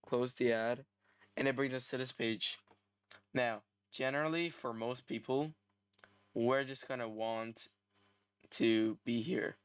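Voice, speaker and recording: A man's voice: mid-range voice, fairly even intonation, takes it slowly, pauses often, clear, resonant tone, no echo. Clean-voiced man narrating a tutorial. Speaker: tutorial host. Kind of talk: scripted software tutorial narration.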